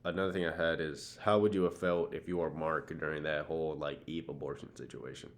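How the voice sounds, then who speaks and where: Very deep-voiced young man. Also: steady tone, a little fast. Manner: slangy, profane. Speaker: podcast co-host. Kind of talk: casual podcast chat.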